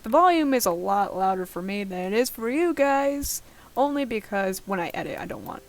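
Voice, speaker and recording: A young woman giving casual, rambling, self-deprecating commentary while playing a game, recorded at home. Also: on the high side. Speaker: gaming video host. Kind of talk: casual Let's Play commentary of a survival game. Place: at home, late at night.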